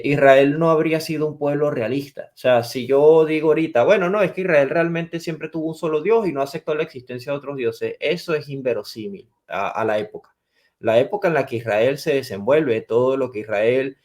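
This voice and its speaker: Man, 20s, from Venezuela, theology teacher, measured lecturing tone, defines each term in turn. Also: mid-range voice, a little mellow. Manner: lecturing, defines terms step by step. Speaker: theology teacher, podcast guest. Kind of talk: explanatory interview answers.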